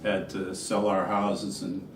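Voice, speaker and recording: An older man with a New England accent and a very deep voice rambles through memories, pausing often on fillers. He sits far from the mic in an echoey room with clear background noise. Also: flat delivery. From Massachusetts. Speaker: interviewee. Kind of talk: casual conversational interview.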